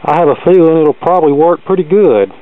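A thin, phone-like recording carries a man with a fairly high voice. He talks in a plain, folksy way, casually walking through a hands-on demonstration of a gadget. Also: expressive, quick pace. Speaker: host demonstrating vintage electronics. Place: cluttered indoor workbench.

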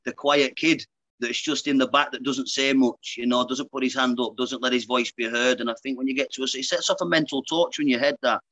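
A man's voice: mid-range voice, fairly even intonation, fairly quick, bright, forceful tone, no room sound, rough recording. A man in his thirties with a Lancashire accent, a guest talking frankly and casually on a video call, often calling the host mate. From Lancashire, England. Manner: chatty, frank, keeps saying "mate". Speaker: guest, pro boxer. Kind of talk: casual interview chat. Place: indoor room, video call. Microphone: earbuds on a video call.

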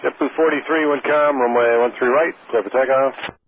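A man's voice: mid-range voice, steady tone, normal speed. A man working as an air traffic controller, giving clipped procedural instructions over a radio. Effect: radio transmission. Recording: narrowband radio audio with faint static.

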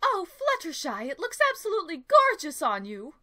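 A woman's voice: very high voice, huge pitch swings, normal speed, pushed and bright, exaggerated voice. A woman voicing an elegant, affectionate cartoon unicorn pony with a refined, dramatic delivery.

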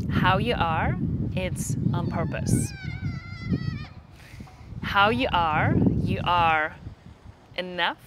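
A woman guides a meditation at a very slow pace. She gives short instructions and leaves generous space between them. Her voice sits a little low, and there is noticeable background noise in the recording. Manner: unhurried, short phrases with long pauses.

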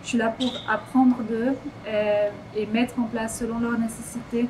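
A woman's voice: a little high, little pitch movement, normal speed, noisy background. Thirties woman volunteer, earnest reflective delivery, recorded outside. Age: 30s. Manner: earnest, thoughtful, with hesitations. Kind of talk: casual testimonial. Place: outdoors.

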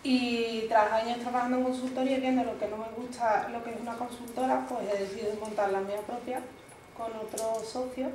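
A woman working as an environmental consultant, introducing herself at a meetup in a steady, explanatory way. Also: fairly high pitch, brisk. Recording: echoey room with background noise.

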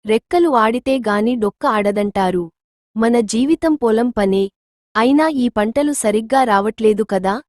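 A woman's voice: fairly high pitch, unhurried, darkish tone, dry recording, studio quality, lightly acted. A woman playing a worried, dutiful farmer's wife in a village moral story. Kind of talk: scripted moral story dialogue.

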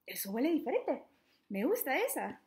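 A young adult woman reading a children's book aloud interactively, in an animated, playful, questioning manner. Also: high-pitched, normal speed. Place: small living room at home, indoors.

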